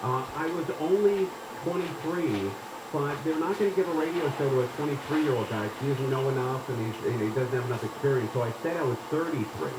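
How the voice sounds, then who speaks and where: A man's voice: mid-range voice, fast, echoey room, noisy background. A man in his forties hosting a casual talk radio show, rambling and folksy, often tagging his sentences with "right?". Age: 40s.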